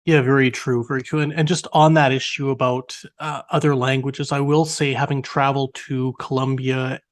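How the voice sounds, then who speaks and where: A man's voice: mid-range voice, little pitch movement, moderate pace. A man whose first language is French, hosting a business interview and asking long, carefully framed questions.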